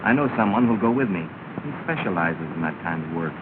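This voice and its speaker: An actor playing a scheming young rival suitor, curt and conniving in a 1930s film. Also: male voice, low-pitched, animated, quick pace. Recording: tinny hissy 1930 film soundtrack.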